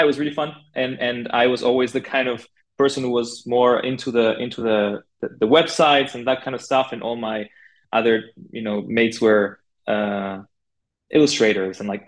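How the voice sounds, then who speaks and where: A man from Argentina speaking as a podcast guest in a casual, conversational way, informal and halting, with plenty of filler words. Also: medium pitch. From Argentina (Buenos Aires). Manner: informal, halting, peppered with 'like' and 'you know'. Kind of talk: casual conversational interview, telling own career story.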